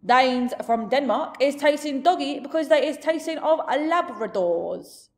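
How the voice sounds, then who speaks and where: A woman's voice: sits high, animated, a little slow, pitched above the performer's own voice. A woman voicing a big, friendly, blustering male giant from a storybook, speaking emphatically in jumbled grammar and made-up words. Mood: earnest. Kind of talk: storybook character voice.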